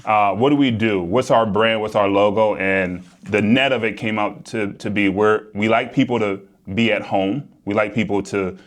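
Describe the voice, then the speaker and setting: A man with a deep voice and an American accent speaks casually to a vlog audience. He explains things as a working real estate developer and agent. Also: steady tone, normal speed, few pauses.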